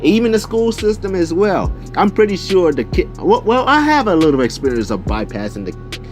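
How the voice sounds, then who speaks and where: A man's voice: high-pitched, moderate pace, soft background music. A young man chatting casually in a slangy storytime style, often turning to address his listeners directly. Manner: slangy, animated, conversational asides to listeners. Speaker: YouTube storyteller.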